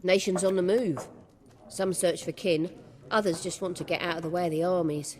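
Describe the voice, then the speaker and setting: A woman's voice: fairly low pitch, steady tone, normal speed, darkish tone, slight background noise, natural voice. A woman plays a village innkeeper, hospitable and a little weary. She speaks in a folksy, matter-of-fact way as a fantasy game character, her voice trailing long reverb. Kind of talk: video game dialogue.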